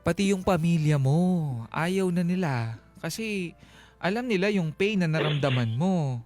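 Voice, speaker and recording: A man's voice: a little high, lively intonation, unhurried, darkish tone, no room sound. A man with a Filipino accent hosting a radio advice show, talking things through conversationally. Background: faint music.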